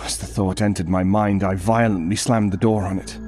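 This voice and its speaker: A troubled young girl's father tells his horror story as voiced by a male actor. His voice is on the low side, brooding and intense, with dramatic pauses. Music plays softly underneath. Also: fairly even intonation, natural voice. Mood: anxious, agitated. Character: anxious, troubled father of a young daughter, narrating. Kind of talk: dramatic first-person horror story narration. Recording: music behind the voice.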